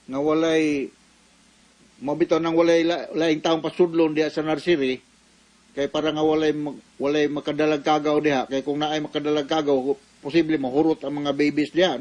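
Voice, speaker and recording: A man's voice: on the high side, rough recording. A man with a Cebuano Filipino accent giving conversational radio advice as a doctor, explaining in a folksy way with frequent tag questions.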